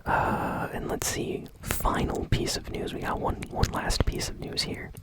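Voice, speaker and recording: A woman hosting ASMR gaming news in a soft whisper, speaking casually and hesitantly, as if unscripted. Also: a little slow.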